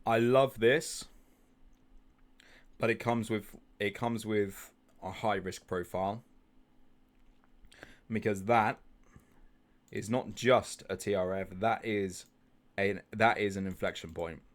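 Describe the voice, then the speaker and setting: Low-voiced man talking through charts. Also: steady tone, slow pace, long pauses. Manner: chatty, thinks aloud, repeats words, asks viewers questions. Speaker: trading group host. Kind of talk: live-stream chart analysis.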